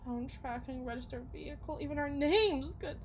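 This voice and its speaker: A woman playing an anxious, lovesick suburban wife and mother in an ASMR roleplay, speaking in a halting, emotional way. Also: high-pitched, a little hiss or hum. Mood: anxious, desperate. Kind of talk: ASMR roleplay, dramatic monologue.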